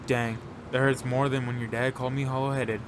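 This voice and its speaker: A man's voice: medium pitch, little pitch movement, brisk, noisy background, close to an everyday voice. A man playing a laid-back, joking friend in an audio drama, talking in casual, teasing banter. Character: Ed, joking, laid-back male buddy of the hero.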